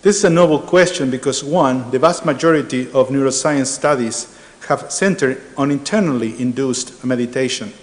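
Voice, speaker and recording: A middle-aged man with an Argentine Spanish accent giving a measured academic conference talk, with frequent hesitations. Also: medium pitch. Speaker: architecture professor presenting research. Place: large lecture hall. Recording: reverberant hall.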